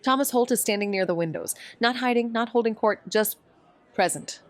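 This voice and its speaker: An actress playing a wry, watchful young amateur sleuth in a noir audio drama, dry and understated. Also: female voice, on the high side, normal speed, no room sound, natural voice. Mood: pensive. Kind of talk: noir audio drama dialogue and first-person narration.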